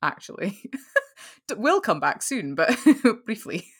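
A woman's voice: medium pitch, big pitch swings, unhurried, a bit bright, breathy edge. A young woman chatting casually as a podcast guest, rambling with lots of "like" fillers. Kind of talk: casual chat over a call.

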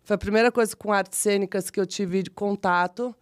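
A woman in her forties from São Paulo with a Brazilian São Paulo accent, telling her life story as a podcast guest in a chatty, animated, self-deprecating way. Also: medium pitch, a little fast. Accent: São Paulo Brazilian Portuguese. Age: 40s. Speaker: guest, model and actress. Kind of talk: casual interview storytelling.

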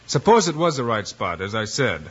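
A man's voice: medium pitch, sing-song, moderate pace, husky. An actor playing a firm, reassuring, plain-spoken western lawman hero in a 1950s radio drama. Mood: reasoning. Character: steady, take-charge western lawman hero. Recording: dull, noisy old radio recording.

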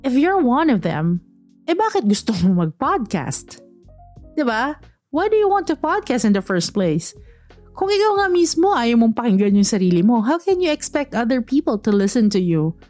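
A woman's voice: on the high side, very animated, normal speed, darkish tone, soft background music. A woman with a Filipino accent, a podcast host giving tips in a direct, teasing way, mixing English and Tagalog.

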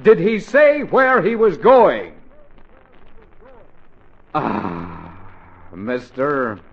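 A man playing an excitable middle-aged American father who stammers and sputters, in 1940s radio comedy style. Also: on the high side, drawn-out words, creaky, husky, full character voice. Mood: flustered. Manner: stammering, sputtering, repeats words. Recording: dull, lo-fi 1940s radio recording.

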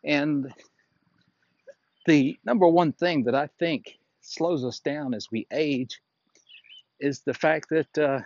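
Slow-talking man in his sixties, outdoors. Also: a little high, a little mellow, no room sound, dull audio. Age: 60s. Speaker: fitness instructor and podcast host. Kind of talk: unscripted reflective solo talk. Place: outdoors, walking.

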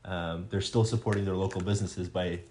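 A man's voice: low voice, fairly even intonation, brisk, a little mellow, a little hiss or hum. A man co-hosting a casual podcast chat, rambling and hesitant with many restarts. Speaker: podcast co-host.

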